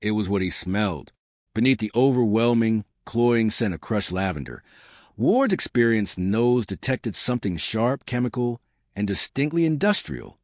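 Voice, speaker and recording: A man reading a scripted dark mystery story as a dramatic, deliberate narrator. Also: on the low side, big pitch swings, no echo, studio quality.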